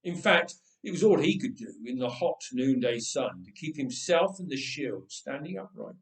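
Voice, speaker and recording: A man reading a story aloud with expressive, playful and dramatic narration, phrasing it deliberately. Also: mid-range voice, recorded from a distance. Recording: roomy, echoing room sound.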